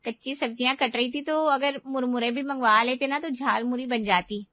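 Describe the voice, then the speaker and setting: A chatty, eager young daughter-in-law, as a woman voices her, pitched fairly high. She talks people round with lively persuasion. The recording is dry and a little dull. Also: normal speed, no echo, close to an everyday voice.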